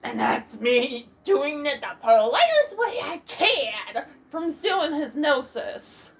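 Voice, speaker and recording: A woman's voice: high-pitched, sing-song, slow. A woman giving casual let's play commentary, thinking aloud in a hesitant way.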